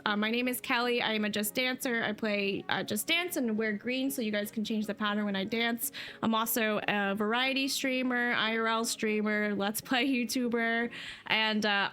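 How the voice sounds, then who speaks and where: Bright chatty streamer woman. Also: on the high side. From New Jersey, US. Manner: chatty, rambling with fillers and laughs. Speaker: livestreamer. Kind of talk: casual chat to a live stream chat.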